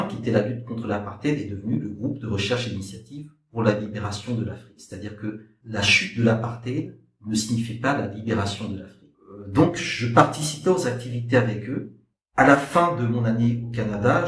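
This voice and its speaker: A middle-aged man giving a spoken personal account as a historian and activist, discursive and halting, repeating points for emphasis. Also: fairly low pitch. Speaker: historian and activist guest speaker. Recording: echoey room, distant microphone.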